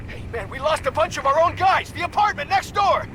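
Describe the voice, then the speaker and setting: A man's voice: extremely high pitch, animated, fairly quick, background noise. An actor playing a horrified, frantic henchman who exclaims in panic in a video game drama. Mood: panicked.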